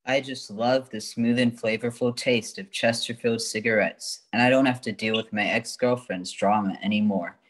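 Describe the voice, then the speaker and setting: A man's voice: mid-range voice, fairly even intonation, moderate pace, few pauses, a bit bright, lightly acted. A man playing a contented, newly single customer giving a testimonial in an old-time radio commercial.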